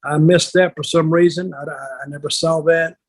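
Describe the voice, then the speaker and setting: An older man with a husky voice telling stories casually on a podcast, blunt and plainspoken, halting and repeating words. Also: a little high, steady tone. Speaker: podcast co-host, wrestling veteran.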